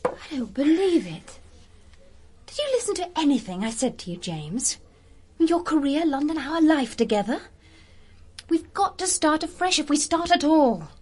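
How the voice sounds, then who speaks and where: A woman's voice: sits high, big pitch swings, normal speed, darkish tone. An actress playing an earnest, loyal young girlfriend in a radio drama, speaking emphatically and pleadingly. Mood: exasperated, pleading.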